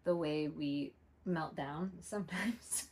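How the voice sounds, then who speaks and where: Earnest woman in her forties, lowish voice. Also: slow, darkish tone. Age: 40s. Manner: open, earnest, reflective. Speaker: podcast co-host. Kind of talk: casual conversation.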